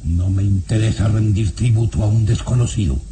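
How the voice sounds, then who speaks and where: An actor playing a proud, irascible middle-aged baron in a radio drama, haughty and theatrical. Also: male voice, fairly low pitch, moderate pace, noisy background, lightly acted. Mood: haughty, indignant. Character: proud, menacing, irascible baron, father of grown daughter.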